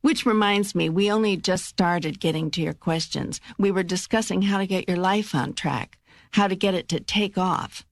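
A woman voices God for an audiobook, a wise and reassuring spirit with a low voice. The delivery is assured and measured, with a teasing wit, recorded dry and close. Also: dry recording, natural voice. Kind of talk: dramatised audiobook dialogue.

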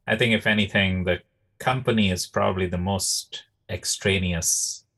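A man's voice: low-pitched, fairly even intonation, moderate pace, fairly bright tone. A man chatting casually as a co-host on a podcast. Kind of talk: casual chat.

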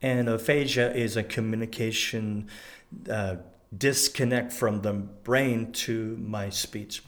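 A middle-aged man and former pastor with a French Canadian-sounding accent, sharing his story candidly in an interview, his speech halting from aphasia after a stroke. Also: fairly low pitch, fairly even intonation. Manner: candid, many pauses and fillers. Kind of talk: personal testimony in an interview.

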